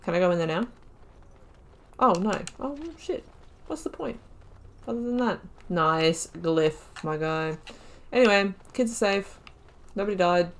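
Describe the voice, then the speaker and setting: A woman's voice: lowish voice, unhurried, long pauses. Chatty young woman gaming commentary. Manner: chatty, excitable, talks to herself while playing. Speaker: gaming channel host. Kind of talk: casual let's-play commentary.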